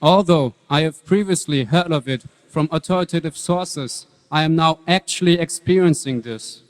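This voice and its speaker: Man as devout young boy, serene, earnest, measured, fairly high voice, long hall reverb. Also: close to an everyday voice. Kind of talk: stage dramatic reading.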